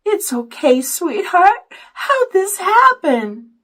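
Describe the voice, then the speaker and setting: A woman's voice: very high voice, big pitch swings, slow, a bit bright, voice pushed up, exaggerated voice. A woman voicing a worried, dramatic mother with a fretful Southern-style manner in an audiobook story. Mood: tender, tearful. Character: worried mother, dramatic, tender, scolding when alarmed.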